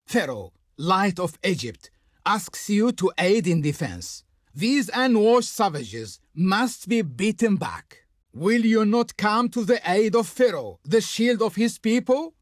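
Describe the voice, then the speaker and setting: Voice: medium pitch, dry recording, clean studio sound. An actor playing a haughty, grandiose Egyptian diplomat in a video game, speaking in a pompous, formal, declamatory way. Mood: urgent disdain. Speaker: game character, faction envoy. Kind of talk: theatrical video game voice acting.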